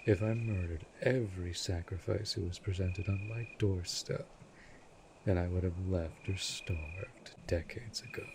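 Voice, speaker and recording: A man playing an ancient, aloof vampire lord in an audio roleplay, speaking formally and imperiously with cold menace and a breathy, husky delivery. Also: deep voice, noisy background. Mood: cold, weary. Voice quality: husky, breathy, dropping near whisper. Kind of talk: scripted audio roleplay drama.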